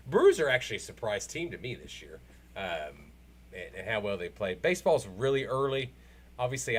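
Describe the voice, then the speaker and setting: Male sports co-host, low-pitched voice, casual conversational delivery.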